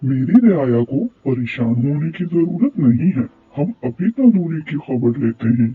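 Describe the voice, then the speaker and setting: Man playing a husky, commanding cartoon king. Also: a little high, animated, unhurried, exaggerated voice. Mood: stern command. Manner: formal, regal, commanding. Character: authoritative king, commanding, concerned for his subjects. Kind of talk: Urdu moral cartoon story dialogue. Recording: tinny phone-like sound, music bed.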